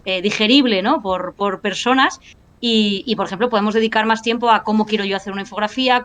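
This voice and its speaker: A woman's voice: mid-range voice, fairly bright tone. A woman lecturer and analyst talking in a casual panel discussion, thinking aloud with restarts and tag questions. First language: Spanish. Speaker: university lecturer and analyst guest.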